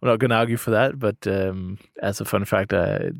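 A man's voice: on the low side, fairly even intonation, brisk, gravelly, dry recording, studio quality. Easygoing man in his thirties. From Denmark. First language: Danish. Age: 30s. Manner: easygoing, many uh fillers and restarts. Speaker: podcast guest. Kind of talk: casual interview answers.

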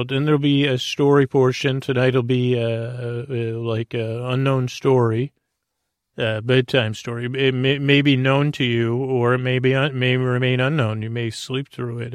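A man hosting a bedtime podcast, rambling in a halting, meandering way with a creaky, mumbly voice and self-deprecating asides. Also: medium pitch, level tone, dry recording, studio quality. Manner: meandering, halting, stumbling over words. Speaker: podcast host and storyteller. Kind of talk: rambling bedtime monologue to help listeners sleep.

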